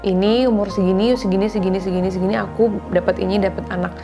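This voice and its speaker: A woman's voice: mid-range voice, steady tone, fast talker, music in the background. A woman in her thirties talks earnestly and confidingly to her viewers about faith and marriage, in a casual style that mixes in English words. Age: 30s. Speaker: vlogger sharing faith and marriage advice. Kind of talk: casual heartfelt talk to viewers.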